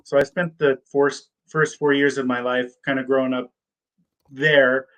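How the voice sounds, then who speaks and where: A man's voice: mid-range voice, level tone, moderate pace, a bit bright. A man in his thirties, a PA school applicant answering mock interview questions, hesitant and full of ums and restarted words. He is from the United States, with German as his first language. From born in Germany, raised in the United States.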